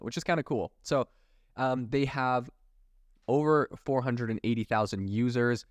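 A man's voice: lowish voice, no echo, studio quality. A man hosting a solo tech news podcast, talking in an enthusiastic, conversational way. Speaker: podcast host.

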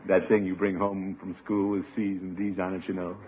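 A man's voice: deep voice, flat delivery, narrow, tinny audio, natural voice. A man playing a genial, pompous middle-aged uncle with an avuncular manner in a 1950s radio sitcom. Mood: pompous, probing. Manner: avuncular, drawn-out, self-satisfied. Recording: thin, hissy old radio recording.

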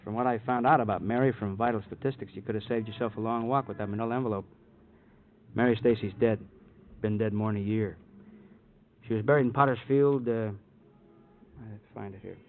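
An actor playing a chatty, good-natured married police partner in a 1950s radio crime drama, folksy and rambling. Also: male voice, lowish voice, fairly even intonation, a little fast, natural voice. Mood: matter-of-fact. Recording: tinny old radio with faint noise.

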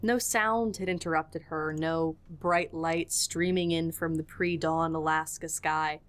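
A woman's voice: low-pitched, steady tone, unhurried. A woman narrating a scripted audio drama in a storytelling style.

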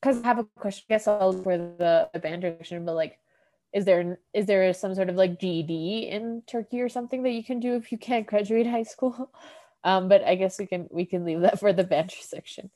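Mellow-voiced woman recapping rambling. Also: medium pitch, a little fast. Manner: rambling, heavy use of "like", laughs often. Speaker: podcast host. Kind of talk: casual episode recap.

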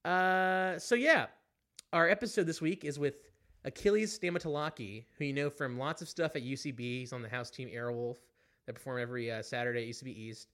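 A man's voice: mid-range voice, a little fast, a bit bright. Young guy hosting a podcast, wanders around a lot, self-deprecating, lots of uhs. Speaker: podcast host. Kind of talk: casual podcast chat.

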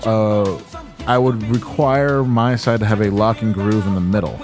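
A man's voice: fairly low pitch, fairly even intonation, moderate pace, over music. A man co-hosting a podcast in an apartment room, bantering casually and profanely with mumbled asides. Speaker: podcast co-host.

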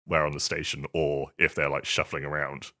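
A man's voice: rumbling low, little pitch movement, moderate pace, bright, forceful tone. A man chatting casually about video games as a podcast co-host, often stammering and repeating words as he goes. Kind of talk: casual video game chat.

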